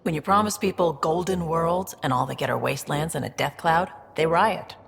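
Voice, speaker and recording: Very low-voiced streetwise space smuggler. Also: female voice, normal speed. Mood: frank, shrewd. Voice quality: gravelly, low. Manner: wry, sly, self-assured. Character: shrewd, wry, streetwise female smuggler in space. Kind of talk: video game dialogue. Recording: reverberant room with faint background noise.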